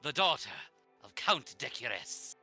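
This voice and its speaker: A man voicing a pompous, zealous high priest in a fantasy visual novel, proclaiming solemnly and grandly. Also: very animated, slow pace, pushed and bright, soft background music, heavily acted. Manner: solemn proclaiming that swells theatrically. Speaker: streamer voice-acting a visual novel.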